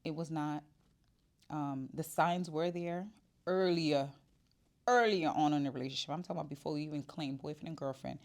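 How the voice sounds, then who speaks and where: Deep-voiced woman in her 40s, chatty vlog talk from her shop, lots of ums and restarts. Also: normal speed. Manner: chatty and candid, with filler words and restarts. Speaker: vlogger. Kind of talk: casual personal vlog.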